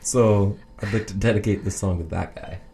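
A man with a low, mellow voice co-hosts a radio show. He chats casually and haltingly, often restarting a phrase, over faint background noise. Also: steady tone, vocal fry. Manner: halting, repeats phrase openings. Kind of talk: casual unscripted chat.

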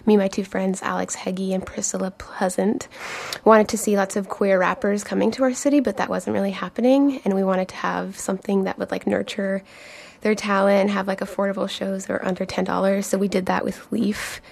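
A woman guest talks casually in a radio studio, scattering fillers through her answers. Her voice has a gravelly fry. It sits at a middle pitch. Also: steady tone, fairly quick, hardly any pauses, darkish tone. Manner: chatty, frequent "like" and "um". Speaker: guest writer.

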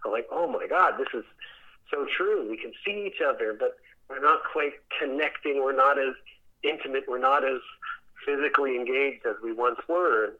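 A man speaking as a theatre director in a conversational interview, reflective and halting, often repeating words as he thinks. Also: medium pitch, narrow, tinny audio.

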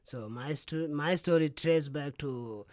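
Young south sudanese guy, soft-spoken, says yeah a lot, slightly high voice, dry studio. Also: slow, no echo. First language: Dinka. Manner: soft-spoken, frequent "yeah" and "you know". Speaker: musician guest. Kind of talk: casual radio interview. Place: radio studio.

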